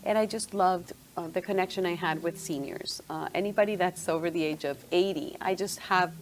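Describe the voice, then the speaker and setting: A woman's voice: fairly low pitch, fairly even intonation, fairly quick, slight background noise. Chatty woman from Venezuela. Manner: chatty, with frequent fillers and restarts. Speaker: guest, senior move manager. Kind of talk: interview answers.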